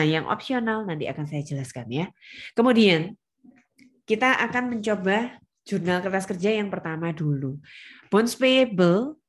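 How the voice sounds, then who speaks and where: Low-pitched woman teaching a class. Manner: didactic, emphatic on key words, checks understanding. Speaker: accounting lecturer. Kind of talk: spoken lesson with questions to students.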